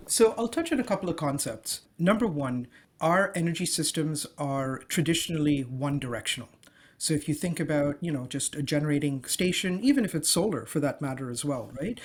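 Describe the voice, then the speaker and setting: A man from Dubai with a slightly high voice, answering interview questions over a remote call. He explains with energy, sprinkling in "um" and "you know.". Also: expressive, fairly quick. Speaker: guest, company co-founder. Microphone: calling in remotely.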